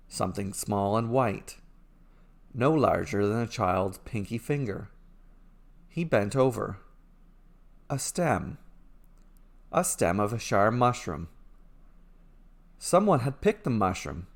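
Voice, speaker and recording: Man narrating a fairy tale, measured phrasing. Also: mid-range voice. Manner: measured storybook phrasing with short dramatic sentences. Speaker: storyteller, writer reading own fairy tale.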